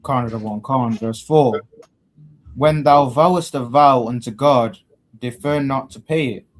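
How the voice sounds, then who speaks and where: A man in a Bible study group, reading verses aloud slowly and carefully. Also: mid-range voice, low-quality recording.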